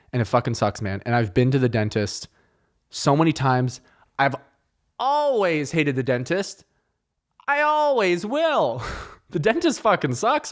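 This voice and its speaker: A man hosting a solo podcast, telling meandering, profane stories in a joking, casual way, with French as his first language. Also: medium pitch. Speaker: podcast host. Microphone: close studio mic.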